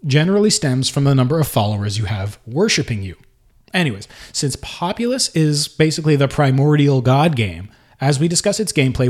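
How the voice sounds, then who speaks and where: A man hosting a podcast, talking loosely and unscripted, with frequent pauses and self-corrections. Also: mid-range voice, darkish tone. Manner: unscripted, halting, many fillers and restarts. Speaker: podcast host. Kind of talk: casual solo podcast talk.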